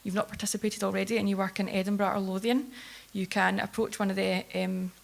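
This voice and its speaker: A woman researcher giving a plain-spoken conference presentation, steady but with filler ums. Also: mid-range voice, fast talker, creaky. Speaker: care home researcher. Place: conference hall.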